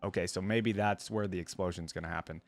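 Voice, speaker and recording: A man hosting a reaction video, commenting informally and reading aloud as he thinks out loud. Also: low voice, steady tone, fast, no echo, soft background music.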